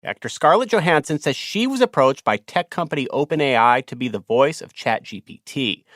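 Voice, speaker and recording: Brisk male anchor, animated intonation, dry broadcast sound. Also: medium pitch, no room sound. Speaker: news anchor. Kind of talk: broadcast news report.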